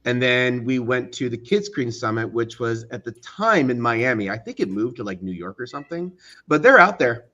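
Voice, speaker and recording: A man's voice: medium pitch, big pitch swings, moderate pace, pushed and bright. A man with a mid-range voice comes through a little muffled. He speaks as an interview guest, recounting things casually and stopping often to find the next word. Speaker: interview guest, studio co-founder.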